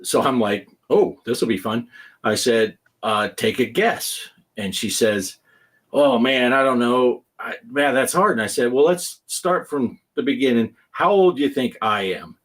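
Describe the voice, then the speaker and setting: A husky man in his fifties hosts a relaxed gaming podcast. His pitch swings up and down a lot as he spins long, laugh-filled stories and acts out quoted lines. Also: unhurried. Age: 50s. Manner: chatty storyteller who laughs often and acts out quoted lines. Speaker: podcast host. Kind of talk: casual chat.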